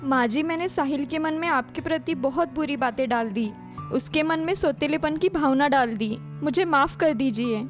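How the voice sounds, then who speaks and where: Woman as jealous young daughter-in-law for cartoon tale, high voice, dramatic complaints. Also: normal speed, soft background music, lightly acted. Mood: remorseful.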